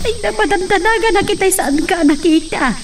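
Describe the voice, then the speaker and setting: A woman's voice: extremely high pitch, a little fast, a little hiss or hum. A woman acting a worried mother in an amateur drama, scolding her daughter for leaving without a word. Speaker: mother, nanang. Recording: distant mic.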